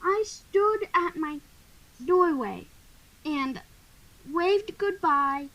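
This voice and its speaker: A woman's voice: very high-pitched, animated, very slow, dull audio. A teenage girl reading scripted storybook narration in a school radio play.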